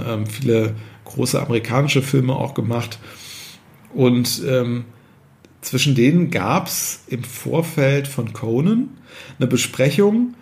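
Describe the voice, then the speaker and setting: A man's voice: medium pitch, darkish tone. A man hosting a podcast, discussing film conversationally in speech and often searching for words. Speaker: podcast host.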